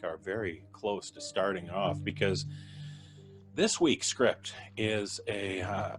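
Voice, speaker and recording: A man hosting a casual live podcast in a chatty, joking way. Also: lowish voice. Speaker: podcast host. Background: clear music.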